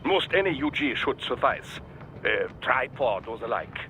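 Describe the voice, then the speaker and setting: An actor playing an eccentric, polite tech advisor in a video game, speaking formally and precisely, like a lecture. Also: male voice, medium pitch, sing-song, unhurried, bright, forceful tone, slight background noise, poor audio. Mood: helpful, precise.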